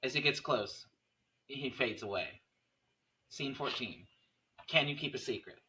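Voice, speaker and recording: A man's voice: mid-range voice, measured pace, pushed and bright, slight background noise, rough recording. A man reading screenplay stage directions as narrator at a table read.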